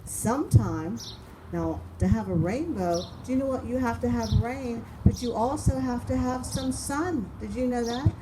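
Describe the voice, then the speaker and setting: A woman's voice: fairly high pitch, moderate pace. A woman reading picture books aloud to young children, stretching words for drama and often stopping to ask them simple questions. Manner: asks the listeners frequent simple questions, dramatic word stretching. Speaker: children's storytime reader. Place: outdoors among flowers and open sky. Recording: outdoor recording with loud birdsong and noise.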